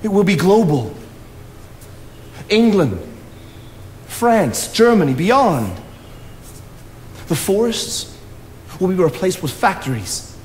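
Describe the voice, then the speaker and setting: An earnest, brooding writer of the 1800s in a stage drama. His voice sits fairly high and he declaims with deliberate conviction. It rings in a theatre with faint background noise. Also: big pitch swings, in a large hall, exaggerated voice. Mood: impassioned, foreboding. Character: earnest, idealistic 19th-century writer, brooding and impassioned.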